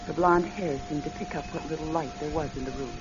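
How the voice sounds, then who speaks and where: A woman's voice: sits low, a little fast, noisy background, lightly acted. An actress playing an anxious, loving, practical woman in a radio drama, telling her story and speaking in an earnest, dramatic way. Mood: hushed, suspenseful. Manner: hushed, dramatic storytelling, earnest pleading in dialogue. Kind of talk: radio drama acting and first-person suspense narration. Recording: dull lo-fi radio recording, music behind. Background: music.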